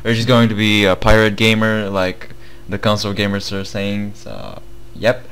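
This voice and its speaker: A young man with a Bulgarian accent co-hosting a casual gaming news commentary, chatty and halting with many fillers. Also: on the low side, flat delivery, fairly bright tone, clear, resonant tone. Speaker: gaming channel co-host.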